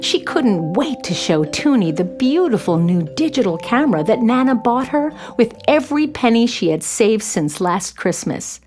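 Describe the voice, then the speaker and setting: A woman's voice: fairly high pitch, sing-song, normal speed, few pauses, a little mellow, faint music behind. A woman narrating a scripted children's story as its storyteller.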